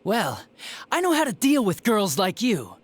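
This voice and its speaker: An actor playing a smug, spiteful young detective villain in a video game, with a sneering, flippant and theatrical manner. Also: male voice, high-pitched, huge pitch swings, a little slow, breathy edge, exaggerated voice. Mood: wheedling resentment. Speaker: police detective. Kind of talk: dramatic video game voice acting.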